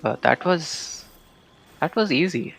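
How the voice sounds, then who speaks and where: A man's voice: on the high side, lively intonation, takes it slowly, vocal fry, music in the background. A man with an Indian accent giving casual, playful, reactive live commentary as he streams a game.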